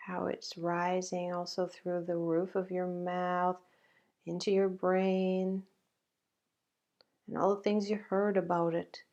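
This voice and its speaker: Slow, creaky-voiced woman guiding meditation. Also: fairly low pitch, little pitch movement, darkish tone, highs cut off. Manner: slow, halting, with long pauses. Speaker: meditation guide. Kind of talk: guided meditation.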